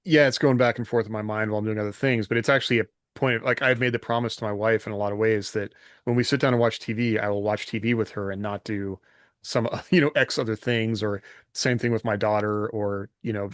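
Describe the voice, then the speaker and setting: A man's voice: lowish voice, steady tone, fast talker, dry recording, studio quality. A middle-aged man talking casually as a podcast host in a reflective, loosely rambling way.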